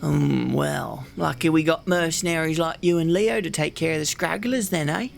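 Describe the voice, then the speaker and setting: A man's voice: on the high side, normal speed, vocal fry. A man playing a jovial city gate guard in a fantasy ASMR roleplay, with a folksy, teasing manner. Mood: curious.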